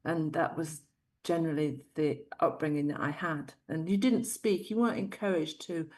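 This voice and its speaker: A woman's voice: sits low, steady tone, normal speed, a little mellow. A middle-aged woman with a Yorkshire accent, a guest telling her life story thoughtfully and laughing often. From Yorkshire, England. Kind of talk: interview storytelling.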